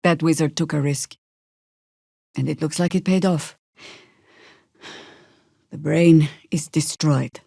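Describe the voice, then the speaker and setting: Low-voiced, sardonic seasoned druid. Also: female voice, fairly even intonation, gravelly, dark tone, breathy edge, no echo, heavily acted. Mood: wry, measured. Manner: dry, wry, deliberate formal phrasing. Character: seasoned, sardonic older druid woman, battle-worn fantasy adventurer. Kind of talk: video game character acting.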